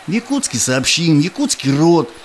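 Menacing Cossack bully, animated delivery. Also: male voice, fairly high pitch, moderate pace, slight background noise. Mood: sly, scornful. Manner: threatening, scheming, speaks in rhymed verse. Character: greedy, menacing Cossack tax collector, cynical bully. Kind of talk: theatrical audio drama acting.

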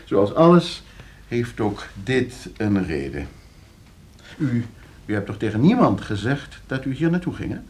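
An actor playing a smug, scheming lawyer in a Dutch radio play, suave and condescending, with a Netherlands accent and hesitant repetitions. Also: male voice, medium pitch, very animated, measured pace, darkish tone. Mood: falsely hospitable. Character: smug, scheming lawyer, falsely hospitable and needling.

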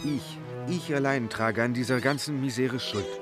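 Actor as devastated loyal servant blaming himself, audio drama, normal-range male voice, music loud behind. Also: medium pitch, normal speed, lightly acted. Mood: despairing, guilty. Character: distraught, self-blaming loyal manservant.

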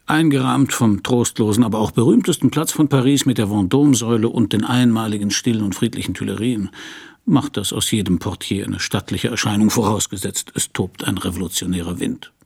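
Hard-boiled private eye, middle-aged, husky and gravelly, deadpan, radio crime play. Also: male voice, on the low side, a little fast. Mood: dry, wry. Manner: dry, laconic, deadpan. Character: dry, hard-boiled male private detective narrating his case. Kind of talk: radio crime drama narration and dialogue.

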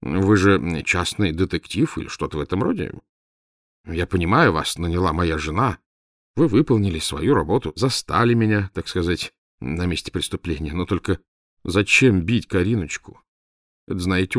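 A middle-aged head doctor, caught off guard, talks in a flustered, indignant rush, pitched high and loud against the narrator's deep baseline, as a male narrator plays him. The recording is dry and close. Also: expressive, moderate pace, no echo. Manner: nervous, indignant.

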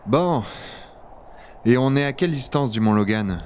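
A man's voice: mid-range voice, expressive, a little slow, slight background noise, natural voice. A man playing a rugged, wry dragon hunter of a frozen future in a science-fiction audio drama. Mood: weary, casual. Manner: gravely reflective narration, familiar offhand banter. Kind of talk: French audio-fiction acting and first-person narration.

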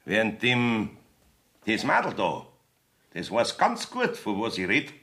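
A man's voice: lowish voice, wildly swooping pitch, slow pace, fairly bright tone, heavily acted. An actor playing a wily, evasive village judge in a Bavarian dialect radio play, blustering and wheedling as he rambles. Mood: wheedling, veiled menace.

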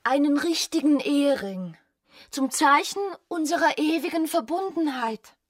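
A woman acting a passionate, anxious, yearning young wife in a radio drama, with an intense and breathy delivery. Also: sits high, animated, measured pace. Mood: solemn, sentimental.

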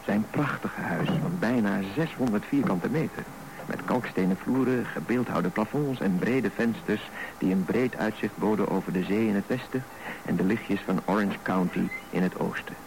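A man's voice: on the low side, normal speed, close to an everyday voice. A man narrating a scripted radio drama as storyteller. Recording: noisy radio broadcast recording.

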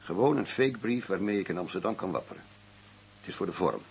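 An actor playing a blunt, world-weary middle-aged undercover detective in a radio drama. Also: male voice, sits low, lively intonation, a little fast. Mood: confident, scheming. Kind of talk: Dutch radio drama acting. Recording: radio play with clear background hum.

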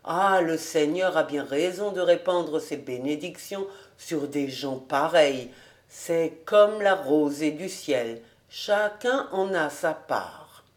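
A woman plays an old country farmer in a storybook, low in pitch and very unhurried. He is tipsy, pious and content, and speaks in deliberate exclamations. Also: animated. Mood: pious, grateful. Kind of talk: audiobook storytelling.

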